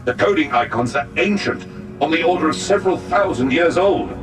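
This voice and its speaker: A man's voice: a little high, expressive, a little fast, natural voice. A man playing an earnest, dutiful space marine in a video game, giving grave and formal reports. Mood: alert. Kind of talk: video game dramatic acting. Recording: distant, over clear background music.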